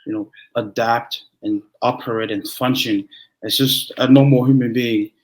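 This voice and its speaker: Young Tongan-born pastor, halting speech. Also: male voice, mid-range voice, fairly even intonation, rough recording. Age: young adult. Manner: halting, many restarts and fillers. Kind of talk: conversational interview.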